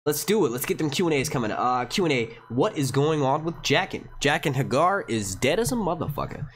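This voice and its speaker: A man hosting a casual live Q&A stream, talking excitably and swearing freely into a gated streaming mic. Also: fairly high pitch, fairly quick, a little hiss or hum. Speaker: livestream host. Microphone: streaming mic with noise gate.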